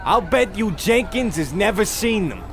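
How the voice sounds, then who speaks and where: An actor playing a pompous, blustering American tourist husband in a comedic radio play, exclaiming in an American accent. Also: male voice, sits high, expressive, normal speed, creaky, noisy background. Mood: brash, self-satisfied.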